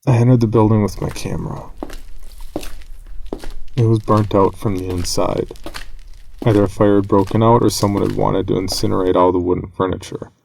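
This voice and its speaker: Male horror storyteller, lowish and fry-laden, solemn, deliberate, pausing for effect. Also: steady tone, a little mellow, a little hiss or hum, low-quality recording. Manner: measured, grave, dramatic pauses. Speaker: narrator. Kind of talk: scripted horror story narration.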